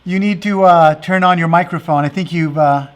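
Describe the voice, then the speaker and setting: A man's voice: on the high side, steady tone, moderate pace. A man hosting a virtual festival and interviewing dancers, who talks in an earnest, meandering way full of fillers. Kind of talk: casual interview chat.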